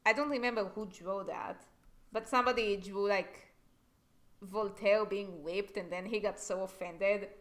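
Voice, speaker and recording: A young woman with an accent co-hosting a casual livestream, blunt and quick to swear, laughing often. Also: medium pitch, fairly even intonation, a bit bright. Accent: non-native English. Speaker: animator and stream co-host.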